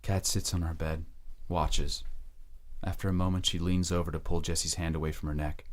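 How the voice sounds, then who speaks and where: A man narrating an audio drama in a measured, deliberate, scripted storytelling style. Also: low voice, flat delivery.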